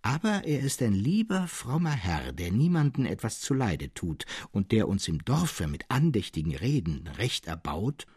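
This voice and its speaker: A man's voice: on the low side, sing-song, normal speed, few pauses, darkish tone, breathy edge, no room sound, studio quality, heavily acted. A man voicing a kindly, simple village peasant in an audio story, earnest and deferential. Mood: respectful, earnest. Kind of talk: literary audio story acting.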